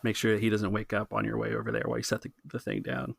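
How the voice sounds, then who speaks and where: Creaky-voiced man running a tabletop game. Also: lowish voice, completely flat delivery, racing, a little hiss or hum. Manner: conversational, improvising, thinking aloud. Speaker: game master. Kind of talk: casual tabletop roleplay narration and rules talk.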